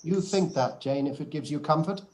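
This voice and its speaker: Weary, wry Regency father played by an actor, higher-pitched. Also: moderate pace, darkish tone, slight background noise, close to an everyday voice. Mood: dry, impatient. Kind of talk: period radio play live read.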